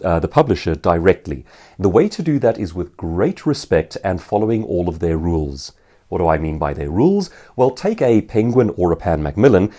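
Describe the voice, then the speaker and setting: Low, animated South African man. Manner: articulate, explanatory, poses rhetorical questions. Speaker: author and professional speaker answering viewer questions. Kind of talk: instructional talk to viewers.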